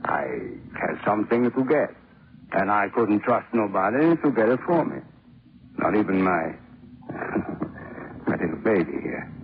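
Very deep-voiced, menacing gangster boss. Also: male voice, animated. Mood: smug, musing. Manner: halting, ungrammatical tough-guy talk with deliberate pauses. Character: menacing deported male gangster boss, slow and smug. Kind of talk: 1950s radio drama acting. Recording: muffled, noisy old radio recording.

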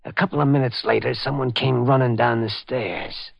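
A man's voice: mid-range voice, steady tone, moderate pace, no echo. An actor playing a detective who disguises himself as a slangy, sly male house painter turned blackmailer, in 1940s radio-drama style. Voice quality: gravelly, raspy. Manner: slangy street talk, drawling and insinuating. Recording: dull lo-fi old radio recording.

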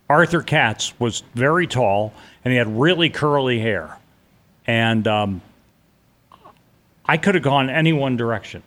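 A man's voice: mid-range voice, a bit bright. A middle-aged man telling a personal story in an interview, blunt and vivid, with casual swearing. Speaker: interview guest.

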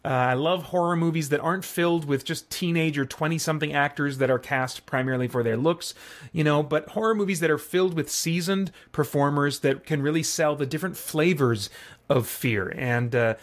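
A man's voice: on the high side, a little fast. A middle-aged man hosting a podcast, giving a casual review in a conversational way, thinking aloud as he goes. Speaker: podcast host and film reviewer. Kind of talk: casual, semi-improvised movie review.

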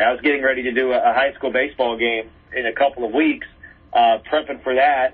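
Guy in his 30s, sports announcer chatting casually, husky medium voice, muffled phone sound. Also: mid-range voice. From Raleigh area, North Carolina. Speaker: radio play-by-play announcer, interview guest. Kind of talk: casual conversational interview answers. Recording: muffled telephone-like line.

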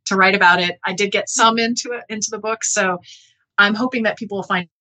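Woman, very bright forceful voice, mid pitch, academic interview guest, earnest explanatory delivery, conversational. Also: fairly even intonation, fast, poor audio. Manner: earnest, explanatory, checks with "right?". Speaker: interview guest, geneticist and author.

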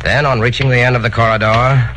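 A man's voice: medium pitch, little pitch movement, fast, noisy background. A man delivering dramatic scripted narration for a 1940s radio crime drama. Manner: dramatic, declamatory.

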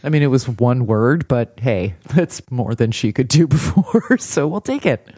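A woman's voice: extremely low pitch, expressive, unhurried, mellow, no room sound. A woman co-hosting a casual TV recap podcast with a dry, blunt, sardonic delivery. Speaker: podcast co-host.

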